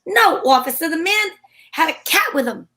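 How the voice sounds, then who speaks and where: Female gumshoe actress, high voice, cheeky and wisecracking, slangy noir delivery for vintage-style radio detective drama. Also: animated, normal speed, bright, forceful tone, full character voice. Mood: startled, probing. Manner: wry, slangy hardboiled delivery. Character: wisecracking female private eye, cheeky and flirtatious, narrating her case.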